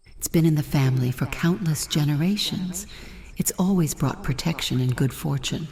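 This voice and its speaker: A woman acting a gentle, solemn mother in an audio drama, speaking in a breathy half-whisper. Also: low-pitched, normal speed.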